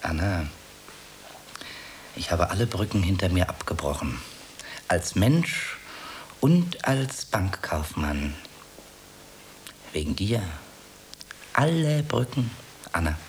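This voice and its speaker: An actor playing an earnest, pedantic bank clerk in love, hesitant and plaintive, repeating himself in a German radio play. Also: male voice, low voice, slow pace, long pauses, full character voice. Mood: wounded, pleading. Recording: steady background hiss.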